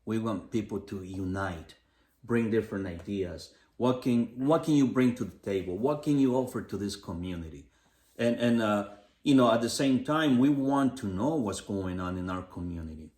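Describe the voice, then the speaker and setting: A man's voice: on the low side, animated, moderate pace, a little mellow. Upbeat motivational man in an echoey room. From Los Angeles area, United States. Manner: upbeat, motivational, speaks in repeated lists. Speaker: podcast co-host. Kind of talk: casual community podcast chat.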